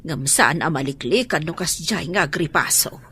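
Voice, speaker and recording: Protective Ilocano mother, radio drama. Forceful, highly animated delivery, worried and exasperated. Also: normal speed, slightly rough, exaggerated voice. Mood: concerned. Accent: Filipino, Ilocano.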